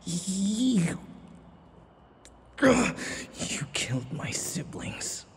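An obsessive male vampire in an ASMR roleplay drama, menacing and full of grief. He speaks slowly and haltingly, with wide swings of pitch between breathy murmurs and outbursts. The voice rings in a long, hall-like reverb. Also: sing-song, full character voice. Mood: bitter, menacing. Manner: breathy whispering, halting repeated words, sudden outbursts.

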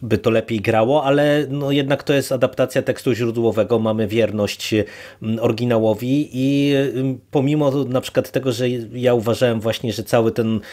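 A man's voice: mid-range voice, steady tone, moderate pace, a little mellow. A man co-hosting a podcast, giving an informal review and thinking aloud with hesitant phrasing. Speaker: podcast co-host.

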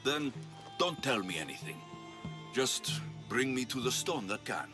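A man's voice: mid-range voice, very animated, measured pace. An actor playing a blunt, wry male mercenary warrior in a video game, direct and a little impatient. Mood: determined. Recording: music behind the voice.

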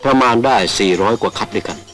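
A man's voice: lowish voice, rapid-fire, fairly bright tone. A man reading scripted, ceremonial documentary narration on a vintage film soundtrack. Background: music.

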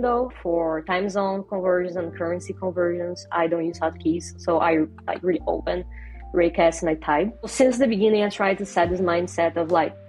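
A woman's voice: lowish voice, a little mellow, low-quality recording. A young woman with a Brazilian accent and native Portuguese, explaining things casually as an interview guest on a video call. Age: young adult. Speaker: interview guest, software designer. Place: small home bedroom, indoors.

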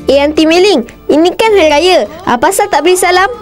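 High-pitched cheeky little boy. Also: brisk, faint music behind. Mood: inquisitive. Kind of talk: cartoon voice acting.

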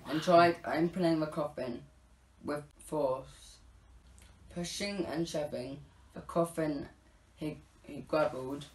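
A teenage male narrator reading a rhyming storybook script aloud, a little haltingly. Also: fairly high pitch. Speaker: narrator of a Scouts pantomime. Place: living room at home, indoors. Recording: roomy echo, faint background noise.

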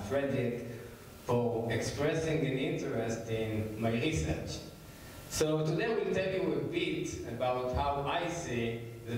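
A man in his forties with an Israeli accent explains his research plainly and steadily to an audience. His voice sits at a mid pitch. It rings through a big hall and sounds distant. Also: a little mellow, a little hiss or hum. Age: 40s. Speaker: scientist giving a talk. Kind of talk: lecture presentation. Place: large theater stage. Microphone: handheld mic. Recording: echoey hall from far off.

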